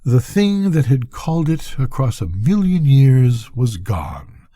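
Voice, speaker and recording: An older man reading a bedtime story aloud as a storyteller, with deliberate, dramatic phrasing. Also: mid-range voice, very animated, mellow.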